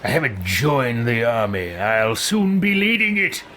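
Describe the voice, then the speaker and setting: A man's voice: mid-range voice, big pitch swings, pushed and bright, a little hiss or hum, voice pushed down. A man voicing an irritable, self-important fantasy hero in a video game roleplay, imperious and contemptuous. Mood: indignant. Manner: imperious, curt, slow and contemptuous.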